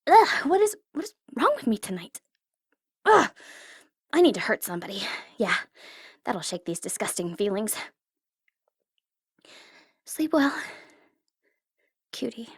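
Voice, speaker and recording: A woman's voice: on the high side, big pitch swings, measured pace, long pauses, slightly rough, no room sound, clean studio sound, full character voice. A woman playing a smug, secretly tender female supervillain mastermind in an intimate, theatrical ASMR roleplay, teasing and easily flustered. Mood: flustered, exasperated.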